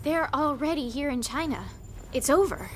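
An actress playing an earnest, determined young agent in a video game cutscene. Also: female voice, sits high, normal speed, a little hiss or hum, natural voice. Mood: focused, relieved. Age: young adult. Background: music.

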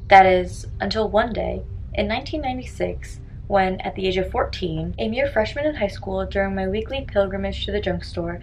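A woman's voice: mid-range voice, a little hiss or hum, low-quality recording. A young woman reading a scripted first-person story aloud with dramatic delivery.

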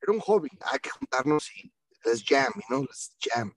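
A man talking on a video call, easygoing and slangy, dropping English phrases into his stories. His voice sits at an ordinary pitch and sounds dry and close, with no room around it. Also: expressive, moderate pace, no echo, rough recording. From Mexico. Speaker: band vocalist, guest. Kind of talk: casual storytelling in an interview.

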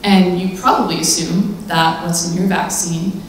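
A young woman student giving a rehearsed, measured research talk in a large lecture hall. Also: on the low side, steady tone, slight background noise. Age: young adult. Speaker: student presenter. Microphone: handheld mic, far camera. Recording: very echoey hall from far off.

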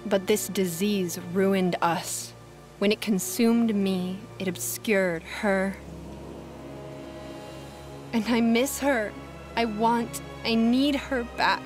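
A woman's voice: mid-range voice, slow pace, pauses often, lightly acted. A woman playing a weary, sardonic young gamer and music producer in a motion comic, with a dry delivery. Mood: wistful.

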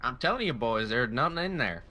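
A man's voice: medium pitch, very animated, a little fast, crowd noise, lightly acted. A man playing a stubborn, teasing mechanic sidekick in online game roleplay. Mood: stubborn denial. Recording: very noisy, clicking game voice chat.

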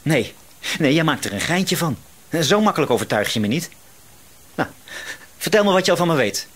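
An actor playing a paranoid, quietly menacing male shopkeeper in a Dutch radio drama, hesitant yet insistent. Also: fairly high pitch, sing-song, husky. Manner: hesitant, insistent, suspicious, drawn-out words.

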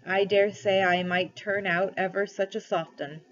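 A woman's voice: mid-range voice, expressive, normal speed, lo-fi sound, natural voice. A woman voicing a humble, honest male cabby from a storybook, hesitant and deferential, with a rustic Cockney accent. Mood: modest, hesitant. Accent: Cockney softening to country. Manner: deferential, hesitant, plain-spoken.

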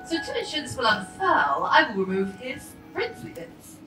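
A woman's voice: high-pitched, sing-song, moderate pace. A woman playing a playful fairy, declaiming rhymed narration in a stage pantomime. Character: mischievous rhyming female fairy narrator. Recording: echoey theatre, distant, music and audience.

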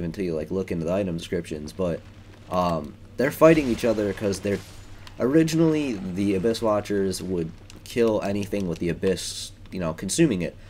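A man's voice: sits low, darkish tone, a little hiss or hum. A young man giving casual, rambling commentary while playing a video game, with lots of ums and likes. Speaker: let's play gamer.

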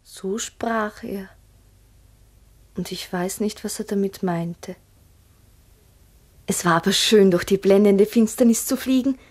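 An actress playing a wistful girl recalling a magical memory in a 1960s radio play, hushed and dreamy. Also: medium pitch, takes it slowly, long pauses. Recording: 1960s mono radio recording.

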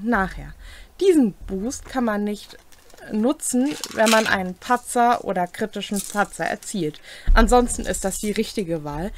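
A woman's voice: mid-range voice, a bit bright, a little hiss or hum. A young adult woman co-hosting a tabletop game explainer, reading scripted rules aloud in a room at home. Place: small home room, indoors.

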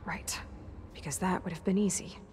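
A woman's voice: fairly low pitch, fairly even intonation, normal speed, a little mellow, lightly acted. A woman playing a determined, sardonic young warrior heroine in a video game, questioning in a dry, pressing manner. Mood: sardonic probing. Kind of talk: video game dramatic acting.

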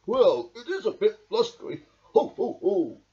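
A man's voice: high voice, wildly swooping pitch, a little slow, mellow, muffled recording, pitched above the performer's own voice, heavily acted. A young male voicing a cheerful, kindly storybook badger in an exclamatory, sing-song way. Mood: jolly.

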